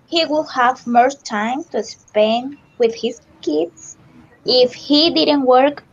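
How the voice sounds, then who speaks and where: A woman student in an online class, reading sentences aloud slowly and carefully. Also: high-pitched, lo-fi sound.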